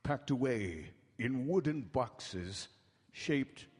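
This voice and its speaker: Slow, ominous gothic storyteller. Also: male voice, mid-range voice, lively intonation, a little mellow, slightly rough, heavily acted. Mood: ominous, suspenseful. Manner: deliberate, suspenseful, long pauses. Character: solemn, ominous storyteller of a gothic horror tale. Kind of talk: dramatic scripted radio narration. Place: town hall stage. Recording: echoey live hall.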